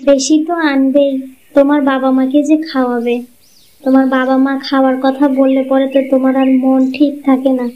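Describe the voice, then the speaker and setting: Cartoon dub: woman voicing a scheming young wife, sarcastic and sweet, high voice, muffled. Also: level tone, a little fast, natural voice. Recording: muffled lo-fi audio.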